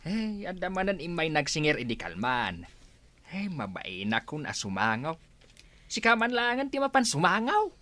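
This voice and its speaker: A man's voice: a little high, wildly swooping pitch, normal speed, pushed and bright, heavily acted. An actor playing an elderly pensioner father, worried but indulgent, in a radio drama.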